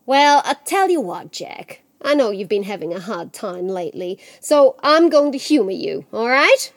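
A woman's voice: on the high side, sing-song, moderate pace, no echo, studio quality. A woman voicing a male Grim Reaper in a folktale, sly, boastful and genial, with a folksy, amused manner. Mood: indulgent. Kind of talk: live oral storytelling.

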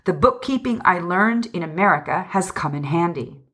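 A woman's voice: on the low side, lively intonation, normal speed, a bit bright. A woman voicing an agitated, self-pitying husband in a storybook reading, pleading and vehement. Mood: indignant.

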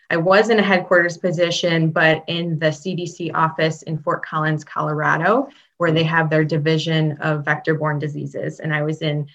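A woman from Chicago talks as an interview guest, friendly and easygoing, with frequent ums and little laughs. Her voice sits low for a woman. The recording sounds dull and lo-fi. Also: a bit bright. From Chicago, Illinois. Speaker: guest, state public health veterinarian. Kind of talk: interview answers, casual.

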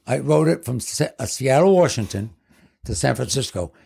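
Gravelly raspy man in his seventies. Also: mid-range voice, lively intonation, normal speed, mellow. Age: 70s. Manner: rambling, leans on "basically". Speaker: guest. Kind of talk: casual storytelling.

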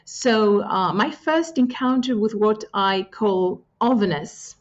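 A woman's voice: medium pitch, lively intonation. Thoughtful woman, muffled audio. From Greece. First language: Greek. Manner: thoughtful, with frequent pauses and fillers. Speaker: guest. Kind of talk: reflective interview answers.

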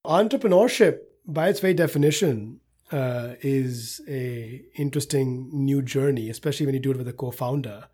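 A man's voice: mid-range voice, moderate pace, darkish tone, breathy edge. A man who grew up in India, a company co-founder, talking reflectively in an interview with some fillers. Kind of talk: interview conversation.

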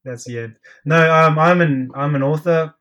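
A man's voice: a little high, normal speed, fairly bright tone. Self-deprecating Australian man on a podcast. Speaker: podcast co-host. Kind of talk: casual podcast chat over a call. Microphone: remote call.

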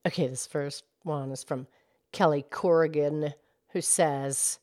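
A woman co-hosting a podcast, explaining things in a measured, matter-of-fact way. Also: deep voice, fairly even intonation, darkish tone. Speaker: podcast co-host.

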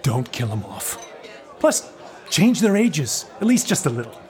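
An actor playing a blunt, condescending theatre adviser in a comic audio play, glib, emphatic and sarcastic. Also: male voice, on the high side, very animated, measured pace, darkish tone, some chatter behind. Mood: sarcastic, insistent. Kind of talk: comedic audio play dialogue.

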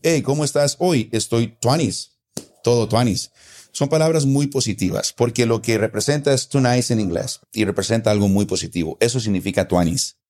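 A man's voice: medium pitch, normal speed, a little mellow. A man with a Costa Rican accent, patiently explaining local words in a casual conversation, often repeating his points. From Costa Rica. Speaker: guest explaining local slang.

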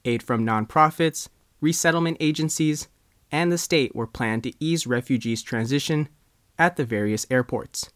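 Guy reporter reading a written news piece, pretty dry recording, measured short phrases. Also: mid-range voice, fairly bright tone, no room sound. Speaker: news reporter and writer reading own article. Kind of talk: scripted news narration.

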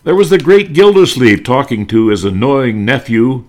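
A man's voice: mid-range voice, sing-song, moderate pace. A man narrating nostalgically, with theatrical delivery and impressions of catchphrases. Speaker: narrator reminiscing about old-time radio.

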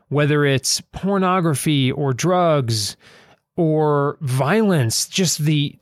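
Slow-paced man with a higher-pitched voice. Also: no echo, studio quality. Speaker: author and podcast host. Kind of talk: thoughtful literary discussion.

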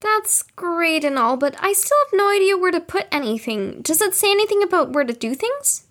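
A woman's voice: extremely high pitch, lively intonation, moderate pace, fairly bright tone. A woman playing a kind, eager young girl in an audio drama. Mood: eager, practical.

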